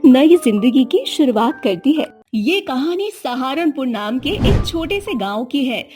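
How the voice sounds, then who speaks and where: A woman reading scripted narration as a storyteller. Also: high voice.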